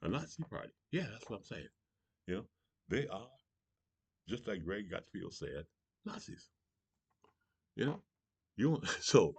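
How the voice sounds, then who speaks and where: A man's voice: deep voice, creaky, slightly rough, no room sound. A man from Charleston, South Carolina, hosting a morning show with a rambling political monologue, often saying "you know" and laughing between points.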